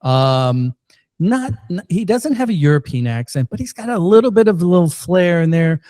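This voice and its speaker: A man's voice: high voice, animated, warm tone, no echo, studio quality. A man hosting a podcast, asking rambling questions with plenty of jokes and restarts. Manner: joking, rambling, halting questions. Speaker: podcast host. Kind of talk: podcast interview. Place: Missouri.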